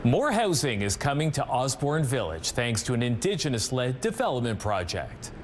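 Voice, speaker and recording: A man's voice: medium pitch, very animated, normal speed, very noisy. A man with a Canadian accent reading scripted news as a television anchor. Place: TV news studio.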